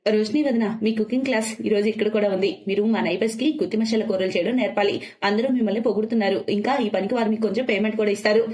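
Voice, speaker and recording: A woman's voice: mid-range voice, steady tone, quick pace, darkish tone, close to an everyday voice. A woman voicing an eager, practical young sister-in-law who encourages others in a story. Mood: eager, encouraging.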